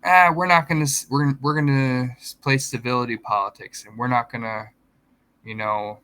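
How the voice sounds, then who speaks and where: A man's voice: mid-range voice, steady tone, moderate pace, sharp tone, smooth. A man in casual podcast chat, explaining politics at length and correcting himself as he goes. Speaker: podcast co-host.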